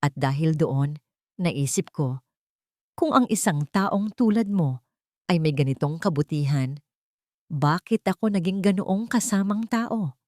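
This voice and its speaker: A woman's voice: low voice, animated, measured pace, pauses often, a little mellow, no echo, clean studio sound. A woman playing a weary, remorseful man who was once rich, speaking in an earnest, solemn, confessional way in an audio drama.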